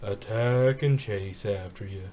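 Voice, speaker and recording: A big, stoic farm stallion from a storybook, acted out by a man, pitched well down. He talks plainly in a laconic, folksy drawl at a slow pace. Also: dark tone, slight background noise, natural voice. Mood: deadpan. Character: big, stoic male farm pony, straining and plain-spoken.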